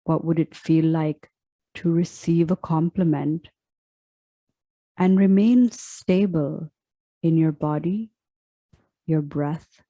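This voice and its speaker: A woman leading a guided meditation as a teacher, speaking in a soft, deliberate, instructional way. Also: deep voice, dry recording, rough recording.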